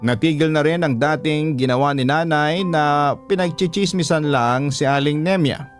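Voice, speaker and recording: A man's voice: on the high side, moderate pace, soft background music. A man working as a radio storyteller, narrating a listener's letter in the first person in a dramatic style.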